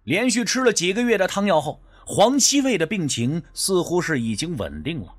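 A man's voice: a little high, big pitch swings, moderate pace, husky. A man narrating a scripted suspense story as an audiobook, with a storyteller's dramatic phrasing.

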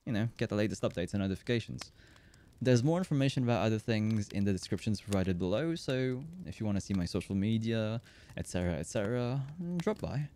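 A man's voice: lowish voice, lively intonation, mellow, a little hiss or hum. A man speaking as a video creator, politely rattling off a scripted channel outro. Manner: brisk, polite, rattled-off delivery.

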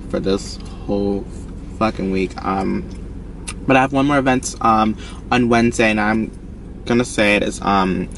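A twenty-year-old man chatting casually for a vlog, rambling in slang and circling back on his words. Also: medium pitch. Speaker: vlogger. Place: inside a car at night. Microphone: camera mounted on the dashboard. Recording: noisy car cabin, distant camera mic.

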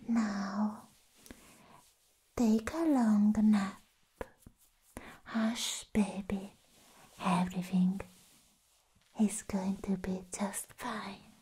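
Female performer as mischievous madcap villainess for ASMR roleplay; whispered, unhurried, bright-toned mellowing to soft, playfully doting. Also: mid-range voice, poor audio. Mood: soothing, sly. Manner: slow, dreamy, teasing. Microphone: close microphone.

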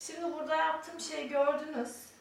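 A woman's voice: high-pitched, steady tone, normal speed, gravelly. Woman teaching mime in an echoey room. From Turkey. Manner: explaining and demonstrating, counting out movements. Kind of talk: step-by-step instruction. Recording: very echoey room, distant mic, faint noise.

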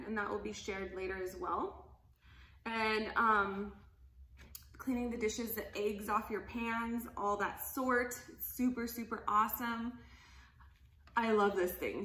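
A woman in her thirties talks through cleaning products in a chatty, enthusiastic way with frequent little laughs. Her voice is bright and sits at an ordinary pitch. It is recorded on a phone camera at a table in a living room that echoes noticeably. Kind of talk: casual product demo.

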